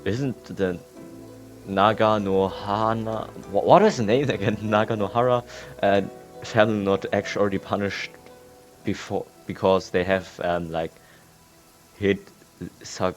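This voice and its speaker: A man's voice: on the low side, steady tone, measured pace. A man streaming a video game, commenting casually and offhand, joking and musing aloud as he plays. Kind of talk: casual live gameplay commentary. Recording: game music behind the voice. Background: video game music and sounds.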